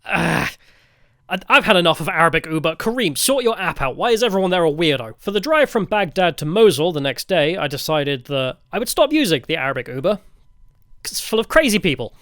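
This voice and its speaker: A man telling travel stories as a vlogger in a casual, wry, deadpan way. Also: on the high side, a little fast, dry recording, clean studio sound. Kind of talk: casual storytelling narration.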